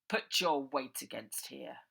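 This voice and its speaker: A woman's voice: a bass voice, expressive, takes it slowly, creaky, pushed and bright, husky, voice pushed down, full character voice. A woman voicing a cold, commanding, contemptuous villainous uncle in a children's story, mock-polite and sneering. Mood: commanding, impatient.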